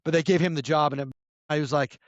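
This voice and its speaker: Guest spot: raspy fifties man, rapid rambling storyteller, dry dull sound. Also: on the high side, steady tone, no echo. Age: 50s. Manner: rambling, many restarts and self-corrections. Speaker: podcast guest. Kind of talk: casual storytelling chat.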